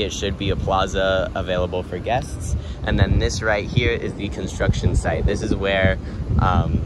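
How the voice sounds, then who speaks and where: Young man vlogging outdoors over noise. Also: fairly low pitch. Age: young adult. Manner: upbeat, chatty, many ums. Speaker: theme park vlogger. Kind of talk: casual walking tour vlog. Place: outdoors at a construction site. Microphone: phone held at arm's length. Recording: noisy outdoor phone audio.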